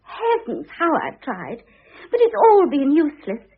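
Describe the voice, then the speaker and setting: Spoiled young wife in an old radio play, gushy and wheedling, swoopy high voice, thin old recording. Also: female voice, wildly swooping pitch, measured pace, narrow, tinny audio, full character voice. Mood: earnest confiding. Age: young adult. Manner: gushing, theatrical, wheedling. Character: self-absorbed young second wife, affectedly innocent and gushing. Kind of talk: classic radio drama acting.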